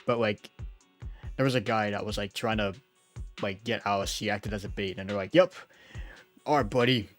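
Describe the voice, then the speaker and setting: A man's voice: on the low side, normal speed, pauses often. A man streaming a game, commenting casually and reading text aloud in an informal, rambling, jokey way. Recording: music behind the voice.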